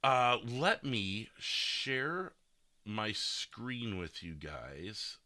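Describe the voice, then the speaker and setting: A man's voice: lowish voice, sing-song, extremely slow, bright, forceful tone, dry recording, studio quality. A man hosting a casual podcast in a rambling, self-deprecating and joking manner. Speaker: podcast host and game streamer.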